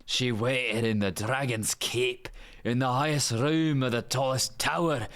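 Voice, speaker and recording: Guy doing a gruff scottish ogre reading a fairy tale, really slow and sarcastic. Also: mid-range voice, fairly even intonation, a bit bright. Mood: solemn storytelling. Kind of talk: storybook narration turning mocking.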